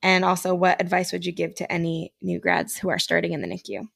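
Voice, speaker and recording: A young adult woman hosting a podcast, chatting in a relaxed way with plenty of fillers. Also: lowish voice, quick pace, gravelly, no echo, clean studio sound. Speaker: podcast host, nurse. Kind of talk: casual chat.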